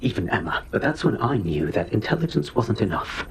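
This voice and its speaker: A man's voice: lowish voice, very animated, fairly quick, vocal fry, a little hiss or hum. An actor playing an arrogant captured spy, lecturing his captors with contempt. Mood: scornful. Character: arrogant captured male spy, scornful and smug. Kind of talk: video game cutscene acting.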